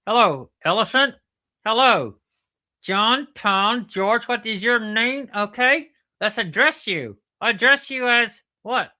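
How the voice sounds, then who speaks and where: Man doing a high, indignant talking platypus. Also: animated, slow, pushed and bright, exaggerated voice. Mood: playful. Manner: exasperated, emphatic, repeats himself. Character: touchy, whiny, indignant male talking platypus puppet.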